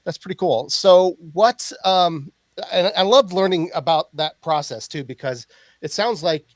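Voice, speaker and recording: A man hosting a podcast, asking questions in a relaxed, casual way. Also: high-pitched, unhurried, dry recording. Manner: relaxed, many fillers. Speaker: podcast host. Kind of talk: interviewing, casual chat.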